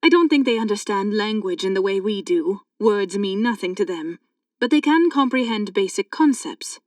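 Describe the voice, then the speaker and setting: Clear, smooth-voiced woman explaining calmly as acted. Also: medium pitch, moderate pace, dry recording, studio quality, distant mic, close to an everyday voice. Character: two sci-fi women, a knowing guide and a curious thief. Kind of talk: full-cast audiobook dialogue.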